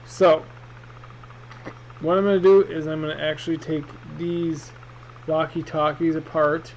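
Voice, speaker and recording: A man's voice: on the high side, level tone, measured pace, a little mellow. A man giving a casual, rambling, hesitant product review in an unboxing vlog, speaking off the cuff. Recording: muffled, with clear background noise.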